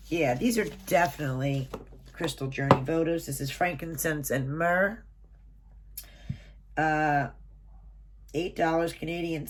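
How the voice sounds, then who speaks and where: A woman's voice: deep voice, background noise. A woman with a stuffy, nasal voice as if getting a cold, unboxing a subscription box at home, reading out items and prices with chatty asides. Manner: reads item lists and prices, chatty asides. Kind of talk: casual unboxing, reading from a booklet. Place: small room at home.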